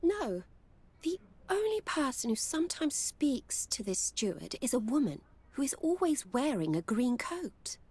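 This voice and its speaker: A woman's voice: high voice, big pitch swings, unhurried, darkish tone, husky, heavily acted. A woman playing a wary, world-wise courtesan in a video game. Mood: guarded.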